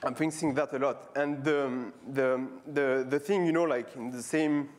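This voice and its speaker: Casting note: man, 30s, French accent, relaxed stage talk full of fillers and restarts. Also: medium pitch. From France. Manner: halting, many fillers and restarts; casual, friendly, repeating words. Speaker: street artist giving a talk. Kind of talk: conference talk. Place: stage before a live audience.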